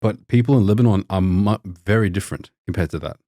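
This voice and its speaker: A young man tells a long, casual story in a low, deep voice. He rambles, restarts and fills gaps with "like" and "um". The sound is close and dry. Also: quick pace, warm tone, dry recording. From Australia. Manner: rambling, many restarts and fillers. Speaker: podcast guest, doctor.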